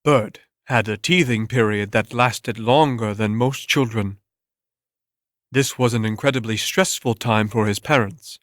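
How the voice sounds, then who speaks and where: A man narrating a scripted story in a measured, deliberate, dramatic-reading style, close on the microphone. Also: fairly low pitch, fairly bright tone, no echo. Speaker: audiobook narrator.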